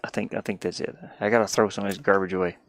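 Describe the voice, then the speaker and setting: A man's voice: fairly low pitch, fairly even intonation, rapid-fire, a little hiss or hum. A man live streaming with casual, rambling chat in a scattered, self-deprecating manner. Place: inside a small cluttered van.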